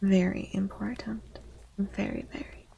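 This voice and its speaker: A woman hosts a soft-spoken ASMR stream in a very breathy, half-whispered voice. Her delivery is slow and mellow, with plenty of creak at the edges. She chats gently and often repeats little phrases. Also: mid-range voice, flat delivery, low-quality recording. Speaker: livestream host chatting with viewers.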